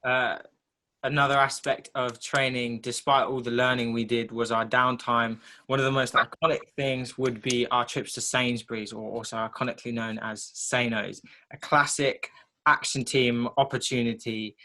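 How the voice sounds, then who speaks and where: A man's voice: medium pitch, fairly even intonation, moderate pace, pushed and bright. An eighteen-year-old man from England giving a light-hearted, jokey account in a casual group presentation. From High Wycombe, England. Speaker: gap-year team member.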